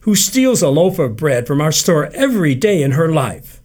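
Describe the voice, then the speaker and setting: A man's voice: medium pitch, very animated, takes it slowly, breathy edge, exaggerated voice. A man doing a terse, indignant shopkeeper.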